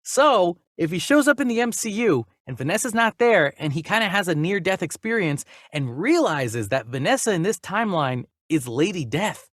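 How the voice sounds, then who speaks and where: Male podcast host, jokey casual chat, very lively intonation, dry close sound. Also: high voice, moderate pace, hardly any pauses, no room sound. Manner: jokey, animated, stumbles over names when reading. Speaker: podcast host reading and discussing movie news. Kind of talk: casual chat with read-out news items.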